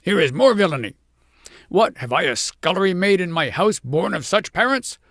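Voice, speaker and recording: A storybook reader voicing a pompous, tyrannical king who threatens loudly. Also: mid-range voice, sing-song, normal speed, vocal fry, no room sound, clean studio sound, pitched above the performer's own voice. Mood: raging.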